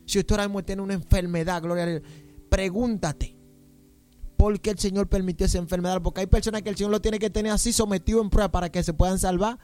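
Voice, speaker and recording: Guy preaching hard, high voice, faint music behind. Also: steady tone, quick pace, warm tone. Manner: exclaims "gloria a Dios" and "aleluya" constantly, pleading. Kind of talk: fervent Pentecostal-style sermon.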